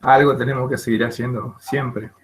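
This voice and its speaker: A man panelist giving brief, relaxed closing remarks in an online talk. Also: mid-range voice, normal speed, slight background noise.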